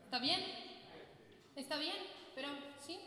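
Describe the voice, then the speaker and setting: A woman talking with the audience between songs as singer and bandleader, relaxed and chatty with hesitant fillers, on a stage mic. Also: high-pitched, unhurried. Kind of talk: between-song stage banter. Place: concert hall with a live audience. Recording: very echoey hall, long reverb, faint guitar.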